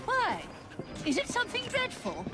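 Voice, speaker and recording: An actress playing an imperious, curious and eccentric upper-class English Victorian politician's wife in a video game, with haughty, theatrical, airy diction. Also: female voice, very high voice, wildly swooping pitch, moderate pace, heavily acted. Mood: curious, amused. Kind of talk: video game dramatic acting. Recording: music behind the voice.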